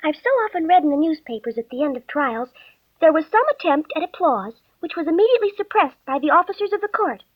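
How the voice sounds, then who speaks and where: A woman's voice: sits high, lively intonation, quick pace. A woman playing a bold, curious young girl in a storybook. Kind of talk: storybook character voice. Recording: dull lo-fi recording.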